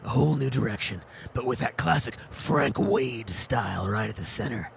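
A man playing a gravelly brooding vengeful cop. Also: mid-range voice, expressive, normal speed, slight background noise. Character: gruff vengeful male cop, middle-aged, melodramatic. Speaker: voice actor. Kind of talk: sketch comedy radio play.

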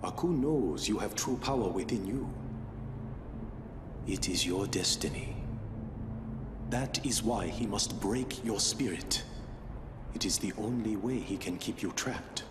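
An actor playing a noble, earnest samurai in an animated game, speaking in measured, formal phrases. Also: male voice, fairly low pitch, roomy sound, big-room sound, background noise, lightly acted. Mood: solemn. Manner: measured, solemn, formal diction. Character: noble, earnest samurai man, calm and resolute. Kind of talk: dramatic animated game voice acting. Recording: music behind the voice.

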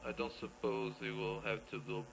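A man's voice: on the low side, flat delivery, moderate pace, creaky, noisy background. A man giving casual let's play commentary, rambling playfully and thinking aloud as he plays. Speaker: gameplay video creator.

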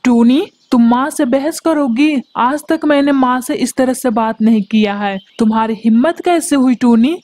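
A domineering husband bird in a cartoon, voiced by a woman. He scolds in a mellow, fairly high voice. The recording is dry and close. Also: moderate pace, few pauses, dry recording, lightly acted. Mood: scolding. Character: bossy male husband bird, mother's son.

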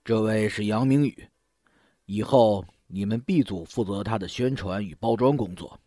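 Man acting a low, mellow company manager. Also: moderate pace, close to an everyday voice. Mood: brisk, official. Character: businesslike male talent company manager. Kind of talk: Mandarin audio drama acting.

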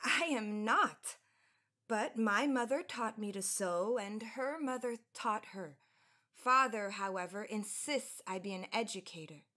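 A woman's voice: medium pitch, a little slow, pushed and bright. An actress playing an earnest, proud young college woman of the 1910s with formal, careful elocution in a radio drama. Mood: gracious. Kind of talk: period radio drama acting.

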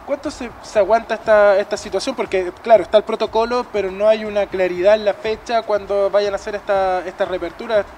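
A man with a high-pitched voice reports live from outdoors, posing questions in a halting, repetitive way. Street and traffic noise is clear behind him. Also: steady tone, darkish tone. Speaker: field news reporter. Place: outdoors at a restaurant terrace. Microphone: handheld reporter microphone.

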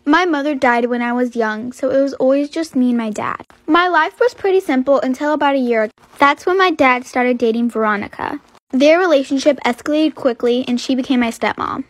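A woman's voice: high-pitched, a little fast, no echo. A woman storyteller giving scripted first-person narration for a roleplay story.

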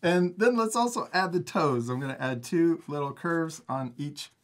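A man's voice: a little high, moderate pace. A man hosting a step-by-step drawing lesson for children in a casual, encouraging manner. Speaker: art teacher and host.

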